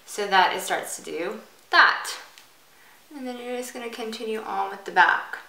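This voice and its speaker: Bright-voiced woman in an echoey room. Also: fairly high pitch, moderate pace, far from the mic. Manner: chatty, informal. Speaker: hairstyling tutorial host. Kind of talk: casual step-by-step demonstration. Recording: bright roomy echo, off the mic.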